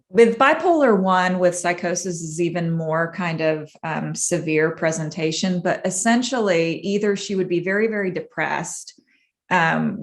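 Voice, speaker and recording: A woman with a lowish voice, a clinician telling her own history in an interview. She talks in an earnest, measured way and pauses to reflect as she goes. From Massachusetts, USA. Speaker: guest, clinician. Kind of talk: personal storytelling in an interview.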